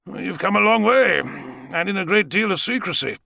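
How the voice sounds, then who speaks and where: A man's voice: sits high, lively intonation, normal speed, creaky, bright, forceful tone, husky, dry recording, clean studio sound, voice pushed up, heavily acted. Man acting a sardonic, articulate captive professor. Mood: cool warning. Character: brilliant captive professor, wry, composed, sardonic.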